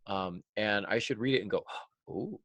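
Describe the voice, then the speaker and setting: A man's voice: on the low side, moderate pace, a bit bright, dry recording. A man talking conversationally as a podcast host about his craft, emphatic and wry, often stopping to correct himself. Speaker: podcast host, writer and writing teacher.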